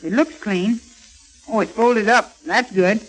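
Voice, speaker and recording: Very high-voiced keen boy sleuth. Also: slow pace, soft background music, poor audio. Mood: practical. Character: Buddy, keen practical young boy sleuth. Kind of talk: 1940s children's radio serial acting.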